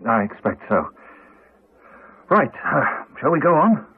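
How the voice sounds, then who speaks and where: An actor playing an awkward, evasive, polite house agent with an English accent, hesitant and apologetic, in a radio drama. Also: male voice, mid-range voice, sing-song, a little slow, slight background noise. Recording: dull, band-limited 1980s radio recording.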